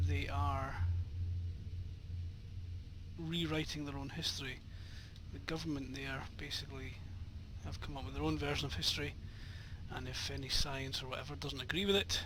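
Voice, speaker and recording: A man hosting a video game series with casual, unscripted commentary, musing in a halting way. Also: medium pitch, steady tone. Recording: noisy recording with background ambience.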